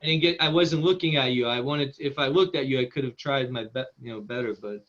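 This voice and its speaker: A man's voice: medium pitch, fairly quick, fairly bright tone, dull audio. A man with an encouraging, rambling manner, giving casual feedback as the writer at a table read.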